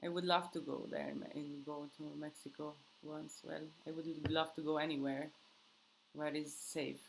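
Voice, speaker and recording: A woman's voice: low voice, flat delivery, moderate pace, creaky, slight background noise, rough recording. A woman hosting a live Q&A in English that is not her first language, rambling casually with many restarts and fillers. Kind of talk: casual live-stream chat with viewers. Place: countryside home.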